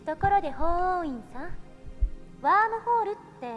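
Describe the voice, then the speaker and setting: A woman's voice: very high-pitched, expressive, muffled recording, soft background music. A woman voicing a confident, teasing young female scientist who lectures in a visual novel, explaining things with playful challenge. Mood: teasing, challenging. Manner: brisk, clearly enunciated explaining, playfully challenging. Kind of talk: Japanese visual novel voice acting, lecture delivery.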